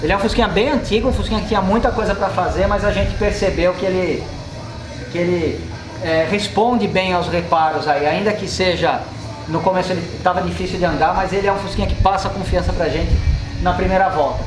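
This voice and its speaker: High-voiced Brazilian man in echoey garage. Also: steady tone, fairly quick. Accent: Brazilian Portuguese. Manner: explanatory, gestures at the car while talking. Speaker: mechanic and channel host. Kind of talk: casual workshop vlog talk. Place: indoor car repair workshop. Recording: echoey workshop with music underneath.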